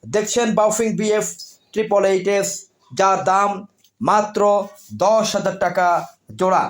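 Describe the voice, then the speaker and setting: A man with a distinctly high voice and a Bangladeshi accent presents a sales pitch for electronics. He announces things deliberately, breaking them into short phrases and repeating key details. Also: steady tone. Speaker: product seller and presenter.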